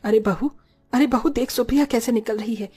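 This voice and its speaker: A woman's voice: on the high side, fairly quick, warm tone, husky, pitched below the performer's own voice. A woman voicing a nosy, combative older mother-in-law in a cartoon story, with an accusing, quarrelsome manner. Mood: indignant.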